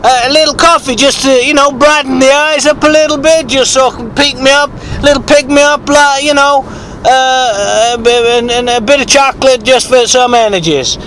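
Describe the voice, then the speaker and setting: A man voicing an eccentric, foul-mouthed rustic bigfoot hunter, husky with a sore throat, telling a halting, rambling, repetitive mock-documentary tale. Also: extremely high pitch, fairly even intonation, a bit bright, a little hiss or hum, rough recording. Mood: rambling, scornful.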